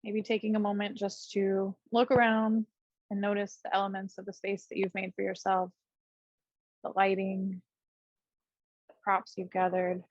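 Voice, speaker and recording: Husky woman guiding a seated meditation. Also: mid-range voice, no room sound, muffled recording. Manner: gentle, unhurried, pausing between short phrases. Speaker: yoga and meditation teacher. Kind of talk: guided meditation, unscripted class instruction.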